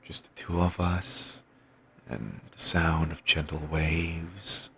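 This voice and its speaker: Man voicing an obsessive yandere boyfriend, soft rambling murmur, deep voice, breathy rasp, roleplay. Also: level tone, takes it slowly, exaggerated voice. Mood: doting, soft. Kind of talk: ASMR audio roleplay.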